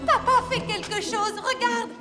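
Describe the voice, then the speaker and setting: A woman's voice: extremely high pitch, unhurried, in a large hall. A woman voicing a bossy, whiny young princess in a cartoon, with imperious delivery. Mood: angry, whiny. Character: spoiled, bossy young princess, shrill and demanding. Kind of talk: French-language cartoon dubbing. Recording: loud music behind the voice.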